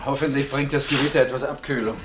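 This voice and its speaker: An actor playing a polite, restrained husband with a courteous, formal, slightly ironic manner in a German radio play. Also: male voice, medium pitch, flat delivery, quick pace, lightly acted. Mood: conversational. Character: polite, restrained husband receiving his sister-in-law. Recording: radio play with clear background noise.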